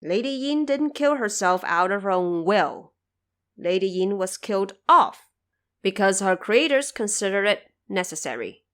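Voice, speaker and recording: A woman's voice: medium pitch, animated, a little slow, fairly bright tone. A woman hosting a podcast in scripted explanatory narration, articulate and pointedly emphatic. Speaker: podcast host.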